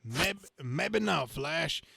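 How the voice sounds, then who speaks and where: Man playing hefty good-old-boy trucker hero, folksy drawl, gravelly creak, comic-book reading. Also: a little high, animated, extremely slow, bright, forceful tone, no room sound, heavily acted. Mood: resigned.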